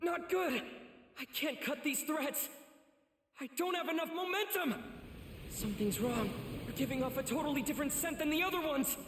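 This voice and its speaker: A man's voice: very high-pitched, normal speed, background noise. A man playing an earnest, determined teenage swordsman in an anime game, intense and urgent. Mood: desperate. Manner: intense, urgent self-talk. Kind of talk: English anime game voice acting. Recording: echoey, long reverb.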